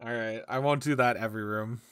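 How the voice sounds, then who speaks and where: A man's voice: mid-range voice, steady tone, a little fast, clean tone, no room sound. A man in his thirties chatting casually while streaming a video game, close on a desk mic. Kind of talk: casual gaming chat. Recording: loud game music behind.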